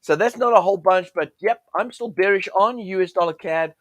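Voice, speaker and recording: A man with a cold talks through trading charts in a loose, chatty way, stumbling over fillers and restarts. His voice sits fairly high and sounds a little croaky. The recording is dry and close. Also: fairly quick, a bit bright, dry recording. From United States. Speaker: forex trading show host. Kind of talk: casual chart commentary.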